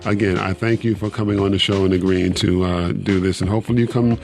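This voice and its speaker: A man hosting an interview show, speaking haltingly with many uhs and restarts. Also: low-pitched, fairly even intonation, a little mellow. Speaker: podcast host.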